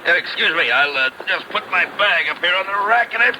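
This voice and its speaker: Folksy drummer, big and gabby; lively swooping pitch, chuckles, stammers; noisy old radio-show recording. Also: male voice, a little fast. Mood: jovial, chatty. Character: fat, folksy, garrulous American traveling silk salesman. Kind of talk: 1950s radio drama acting.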